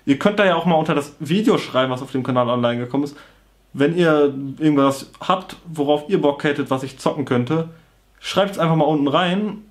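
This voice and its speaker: A man's voice: fairly high pitch, brisk. Young man with a cold, vlogging casually. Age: young adult. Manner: informal, slangy, offhand. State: has a cold and sore throat. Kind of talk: casual talk to camera. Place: small bedroom with computer desk, indoors.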